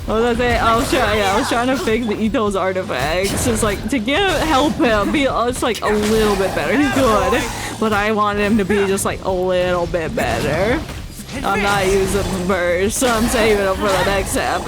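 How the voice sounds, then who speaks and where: A woman's voice: medium pitch, normal speed. A woman giving casual, rambling gameplay commentary, half muttering to herself as she plays. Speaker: game streamer. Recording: loud game music behind. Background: game music and combat sounds.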